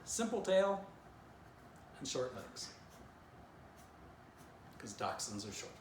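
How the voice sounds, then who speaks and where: A middle-aged man narrates for children and talks through drawing in an informal teaching style. His voice sits at an average pitch in an echoey room with noticeable noise. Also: sing-song, slow. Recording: roomy echo, clear background noise.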